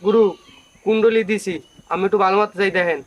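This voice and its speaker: A man's voice: sits high, steady tone, fast, lightly acted. A man playing a simple, eager underling of an exorcist guru in an amateur comedy drama. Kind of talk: hobby comedy drama acting.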